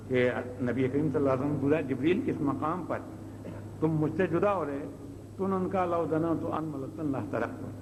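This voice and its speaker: A man preaching an Islamic sermon in an explanatory way, asking rhetorical questions and repeating his points. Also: a little high. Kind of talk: sermon, explaining religious stories. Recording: old noisy cassette, long hall reverb.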